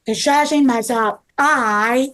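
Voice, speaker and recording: A woman reading the part of an earnest, careful construction manager in a halting, deliberate way at a table read. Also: sits high, fairly bright tone. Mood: earnest, measured. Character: earnest construction project manager named Bob, calm and careful. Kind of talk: table read of a scripted story.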